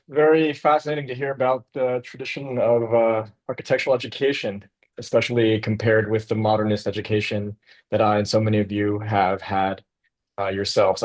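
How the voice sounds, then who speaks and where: A man's voice: on the low side, fairly even intonation, brisk, a little mellow. A man from the United States, presenting as a guest architect over a video call in a casual, enthusiastic way with some fillers.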